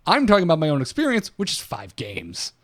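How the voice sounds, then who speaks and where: A man's voice: fairly high pitch, huge pitch swings, quick pace, fairly bright tone. A man co-hosting a gaming podcast, telling stories in a chatty way with many restarts. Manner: chatty storyteller, stammers and restarts. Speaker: podcast co-host. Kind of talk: casual gaming podcast talk.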